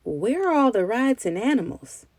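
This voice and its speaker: A woman voicing an eager, curious young grandson in a children's storybook, excited and full of questions. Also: on the high side, normal speed, no room sound, pitched above the performer's own voice.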